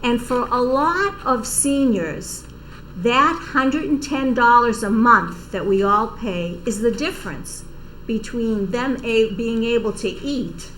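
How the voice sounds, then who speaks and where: An older woman with a Boston accent, an outreach coordinator giving slow, explanatory answers on a panel and stressing key terms. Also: a little high, expressive, a bit bright, a little hiss or hum, rough recording. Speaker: outreach coordinator for an aging services office. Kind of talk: informative panel talk.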